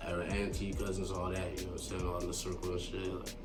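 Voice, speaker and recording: A man's voice: deep voice, completely flat delivery, fast talker. A man, chatting casually as a music producer in an interview, rambling in a laid-back way with lots of slang and swearing. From born in Kenya to Somali parents, moved to America at eleven. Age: 20s. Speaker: beat producer being interviewed. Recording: distant mic with music behind.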